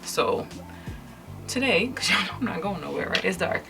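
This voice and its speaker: A woman's voice: fairly low pitch, expressive, moderate pace, gravelly, music in the background. A tired young woman from Trinidad and Tobago with a light Trinidadian accent, chatting candidly to her camera while she does her makeup at home, with lots of fillers and restarts. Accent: Trinidadian English, lightly. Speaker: vlogger. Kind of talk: casual chat to camera while doing makeup. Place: indoors at home, night.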